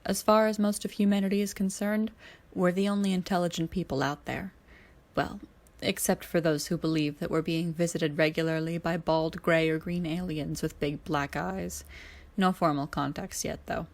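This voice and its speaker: A woman reads as the male leader of a space team in an adventure story. He is steady and serious, speaking in measured, dry statements. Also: fairly low pitch, natural voice. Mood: grim, measured.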